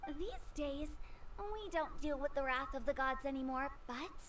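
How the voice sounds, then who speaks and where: A woman's voice: high-pitched, expressive, measured pace, far from the mic, noisy background. A woman voicing a quirky, talkative teenage girl in a video game, telling things in a theatrical way. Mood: storytelling.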